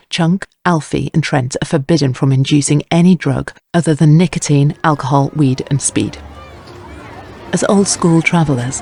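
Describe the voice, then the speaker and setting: A man's voice: on the high side, creaky, darkish tone, a little hiss or hum. A man reading scripted narration for a crime audio drama.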